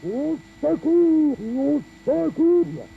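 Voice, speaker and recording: Panicked cartoon character, extremely high, drawn-out, dull noisy recording. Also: male voice, big pitch swings, gravelly, exaggerated voice. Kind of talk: cartoon acting.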